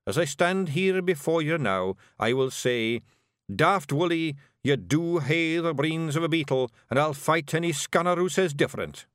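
A man voicing a small, blustering male fairy clan chief in a broad Scottish accent, gruff and boastful. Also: a little high, lively intonation, a bit bright, no room sound, studio quality, voice pushed up. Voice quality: gruff, boastful, quick to bristle.

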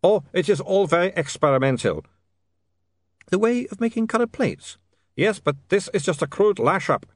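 A man's voice: sits high, expressive, moderate pace, no echo, clean studio sound, voice pushed up. A man voicing an anguished, polite male vampire in an audiobook, with a trembling, wailing voice and melodramatic, pleading delivery. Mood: flustered.

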